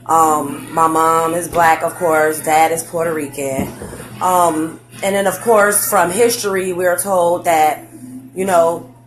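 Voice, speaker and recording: A young woman, chatting excitedly on a live stream, full of slang and "like"s and "um"s. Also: sits low, slow, poor audio. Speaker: vlogger. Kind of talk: casual facebook live chat.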